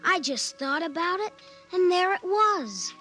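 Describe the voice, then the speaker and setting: An actor playing an eager, bold young boy in a vintage radio drama, with a high childlike voice and an excited, confident manner. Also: a little slow, faint music behind, heavily acted.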